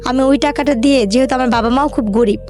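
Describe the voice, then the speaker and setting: A woman's voice: high-pitched, fast talker, a little mellow, faint music behind. A young woman with a Bangladeshi accent tells her life story in an interview, colloquially, in run-on sentences with quoted conversations.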